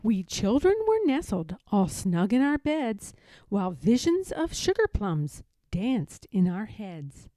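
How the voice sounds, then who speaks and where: Woman reading slowly, with lively pitch swings. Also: dry recording. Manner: dramatic, measured storytelling. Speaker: storybook read-aloud narrator. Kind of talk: expressive children's poem read-aloud.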